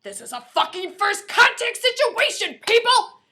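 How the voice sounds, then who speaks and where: A woman's voice: very high-pitched, very animated, normal speed, bright, forceful tone, voice pushed up, heavily acted. A woman reading aloud the lines of a stern male army captain from a novel, commanding and snapping at his squad. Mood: stern, exasperated.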